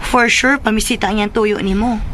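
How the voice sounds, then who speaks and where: A woman's voice: medium pitch, fairly even intonation, normal speed, fairly bright tone, lightly acted. Woman acting a teasing daughter, noisy background. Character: teasing, curious grown daughter. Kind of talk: radio drama acting.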